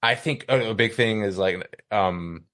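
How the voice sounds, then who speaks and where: A man talking casually on a podcast, halting and digressive, with offhand swearing. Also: lowish voice, fairly bright tone, no room sound, clean studio sound. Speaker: podcast host.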